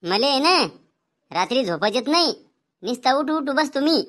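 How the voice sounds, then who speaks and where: A man's voice: very high voice, big pitch swings, normal speed, fairly bright tone, full character voice. A man voicing a cheeky, doubting male patient in a comedy cartoon, complaining and arguing in a Vidarbha Marathi accent. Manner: complaining, argumentative.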